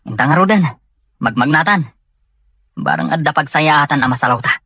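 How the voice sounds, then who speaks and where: A man acting a questioning partner in crime in an audio drama, with a slightly husky voice. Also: on the high side, lively intonation, moderate pace, pushed and bright, dry recording, full character voice. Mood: wary, questioning. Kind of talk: acted dialogue in an audio drama.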